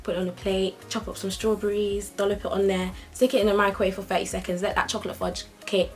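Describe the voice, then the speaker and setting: A young woman chats brightly to her camera, lively and upbeat, at a middle pitch. Soft music plays faintly behind her. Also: fast talker. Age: young adult. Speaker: vlogger. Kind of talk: casual talk to camera.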